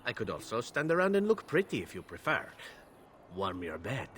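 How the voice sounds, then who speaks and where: A man's voice: medium pitch, wildly swooping pitch, normal speed, a bit bright, a little hiss or hum. An actor playing a roguish, silver-tongued assassin for hire in a video game, flirting and teasing as he talks. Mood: flirtatious, teasing. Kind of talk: video game character dialogue.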